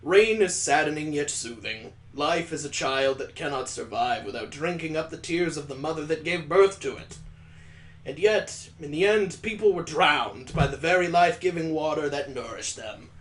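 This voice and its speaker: A playful man reads a visual novel aloud and breaks in with jokes as the let's play host. His voice sits a little high for a man. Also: normal speed, a bit bright, rough recording. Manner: playful, joking asides.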